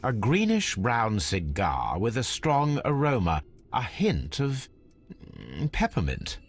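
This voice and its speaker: An actor playing a brilliant, aloof gentleman detective in a video game, with a British accent and a precise, measured, analytical delivery. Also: lowish voice, huge pitch swings, slightly rough, exaggerated voice. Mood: deducing. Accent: British English. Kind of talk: video game character acting.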